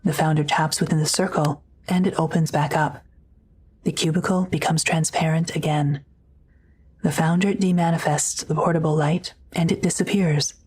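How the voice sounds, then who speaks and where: A woman's voice: sits low, fairly even intonation, moderate pace, a little mellow, poor audio. A woman narrating a scripted audio drama in the present tense, matter-of-fact, with ambient noise behind her.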